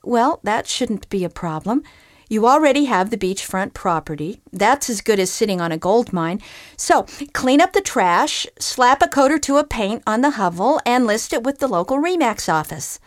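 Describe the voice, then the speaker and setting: A woman voicing a sharp-tongued female enchanted groundhog who talks with blunt, sarcastic scolding in a storybook reading. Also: fairly high pitch, very animated, moderate pace, dry recording, clean studio sound, voice pushed up. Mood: practical.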